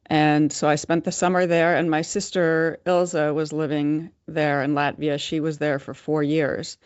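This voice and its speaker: A woman from the United States talks as a podcast guest, thoughtful and measured. Her voice is smooth and resonant, heard close and dry with a slightly dull tone. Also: deep voice, a little mellow, no echo. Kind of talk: podcast interview.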